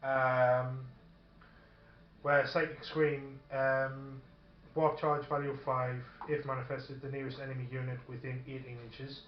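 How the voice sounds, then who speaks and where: A man talks casually as a tabletop wargame player, thinking aloud and sometimes reading rules in a hesitant way. The room echoes around him, the sound is a little dull, and faint noise sits behind his medium-pitched voice. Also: level tone, measured pace. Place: indoor room during a filmed tabletop game. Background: faint noise, radio playing.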